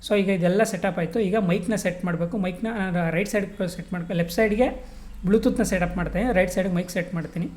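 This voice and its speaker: A woman's voice: lowish voice, fairly even intonation, fast talker, a little mellow. A woman giving a casual step-by-step tutorial, colloquial and mixing in English words. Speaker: vlogger demonstrating gear installation.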